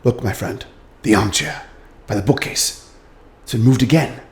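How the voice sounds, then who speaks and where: A man playing a shrewd, calm detective in a radio play, brisk and commanding with a dry wit, in a roomy room. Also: mid-range voice, close to an everyday voice. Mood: calm, deductive. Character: shrewd calm male detective, middle-aged. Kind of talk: community radio theater acting. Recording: roomy echo.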